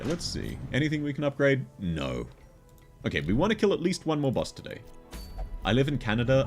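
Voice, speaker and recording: Male game streamer, Australian accent, low and animated, laughs a lot while reading chat, soft music in the background. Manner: chatty, laughs often, answers chat while playing. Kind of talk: casual livestream chat with viewers.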